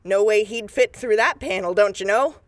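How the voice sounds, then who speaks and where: A woman's voice: medium pitch, normal speed, dry recording, lightly acted. A woman playing a businesslike, take-charge deputy investigator in a radio drama, plain-spoken and matter-of-fact. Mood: focused, deducing. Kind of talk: scripted radio drama acting.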